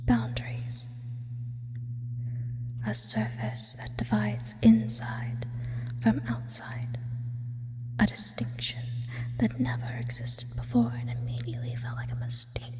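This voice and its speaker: A woman playing an eloquent, teasing sleep demon of smoke and shadow in a breathy ASMR roleplay whisper. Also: mid-range voice, big pitch swings, big-room sound. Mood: wistful, musing. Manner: slow, teasing, formal wordy phrasing.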